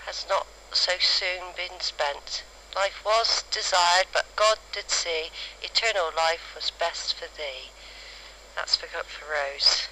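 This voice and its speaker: Woman, very bright piercing voice, halting descriptive delivery, amateur outdoor audio diary, faint cassette noise. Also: fairly low pitch, poor audio. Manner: halting, descriptive, reading out details piece by piece. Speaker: amateur family historian recording an audio diary. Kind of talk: spontaneous spoken field notes. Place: outdoors in a cemetery.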